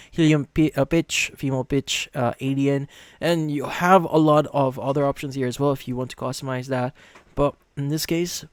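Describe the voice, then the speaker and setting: A man's voice: medium pitch, darkish tone, no echo. A man narrates a computer how-to as its host. He gives instructions one step at a time, with repeated phrasing. The sound is close and dry. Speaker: tutorial host. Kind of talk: screen-recorded software tutorial narration.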